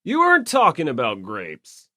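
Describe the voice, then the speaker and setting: A performer voicing a dim, easygoing, excitable young man in an audiobook, clueless and emphatic. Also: mid-range voice, moderate pace, dry recording, studio quality. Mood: pleased realization. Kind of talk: audiobook character acting.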